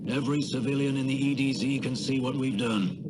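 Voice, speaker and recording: Guy playing an old-hand soldier in a game, calm n wry, normal pitch, super noisy background. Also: brisk, close to an everyday voice. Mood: wry, pleased. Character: seasoned, courteous, wry veteran male soldier. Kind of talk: video game voice acting. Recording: loud background noise.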